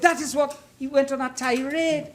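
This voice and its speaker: A man's voice: very high-pitched, steady tone, normal speed. A Trinidadian man giving a formal parliamentary debate speech as an opposition member, emphatic and oratorical, repeating phrases for effect. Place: parliament chamber.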